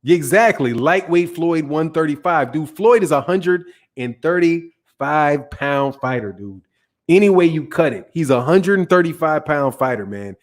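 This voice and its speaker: Excitable slangy man hosting boxing chat. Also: a little high, unhurried. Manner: loose slangy banter, excitable, trails off and restarts. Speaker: boxing channel host. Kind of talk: casual livestream chat reading viewer comments.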